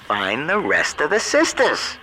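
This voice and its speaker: A man's voice: on the high side, huge pitch swings, unhurried. An actor playing a slick, shifty, smug radio newsman in a video game, with glib, theatrical buddy-buddy patter. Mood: glib, taunting. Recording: noisy transmission with background ambience.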